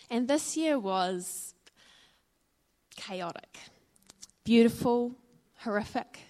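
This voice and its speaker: A woman with a New Zealand accent, probably in her thirties, giving an earnest and reflective personal testimony to a church audience, with frequent ums and uhs. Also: mid-range voice. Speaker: church speaker sharing her story.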